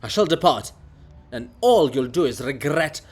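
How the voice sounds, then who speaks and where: A man's voice: fairly high pitch, animated, normal speed, husky. A man voicing a living monument of a haughty, paranoid genius in a theatrical farce reading, declaiming and ranting. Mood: outraged, grandiose.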